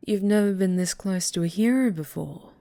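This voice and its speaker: A woman playing an obsessive female superhero captor in an ASMR roleplay, speaking in breathy coos and whispers with sweet, possessive menace. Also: mid-range voice. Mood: sweet, teasing. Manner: breathy cooing that slips into whispers and soft menace. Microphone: close microphone.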